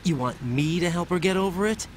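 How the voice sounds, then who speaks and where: A man's voice: high voice, lively intonation, a little fast, a little hiss or hum. An actor playing a young rookie agent, earnest and impatient, questioning his allies in a video game cutscene. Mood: reluctant resolve. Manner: questioning, emphatic.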